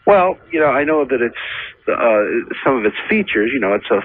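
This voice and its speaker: Raspy American man calling on a phone line. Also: mid-range voice, sing-song, moderate pace. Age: 50s. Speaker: caller to a radio advice show. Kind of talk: casual phone conversation. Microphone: telephone. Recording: narrow muffled phone line on radio.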